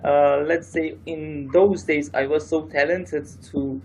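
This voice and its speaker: A man's voice: fairly high pitch, fairly even intonation, slow, darkish tone, slightly rough, poor audio. A man speaking casually in non-native English, hesitant and full of fillers. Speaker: interviewee. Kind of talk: casual chat.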